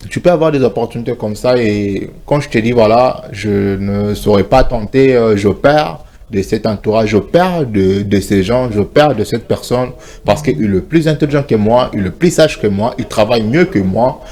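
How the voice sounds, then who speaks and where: Low, mellow man giving advice. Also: expressive, moderate pace. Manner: earnest, advice-giving, mixes in Lingala. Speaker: morning show co-host. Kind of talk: casual studio chat. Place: TV studio.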